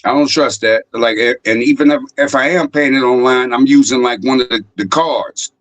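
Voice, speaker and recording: A man hosting a casual online chat, speaking in a rambling, halting way with many fillers. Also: medium pitch, fairly even intonation, a bit bright, dry recording. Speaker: host. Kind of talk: casual chat on a live stream.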